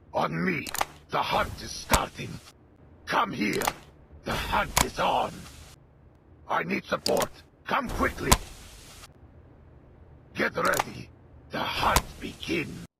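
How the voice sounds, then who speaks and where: Gravelly, menacing militant commander. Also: male voice, high voice, sharp tone, slight background noise, full character voice. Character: vengeful adult male militant commander, menacing and wrathful. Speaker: playable game character. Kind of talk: video game voice lines, combat barks.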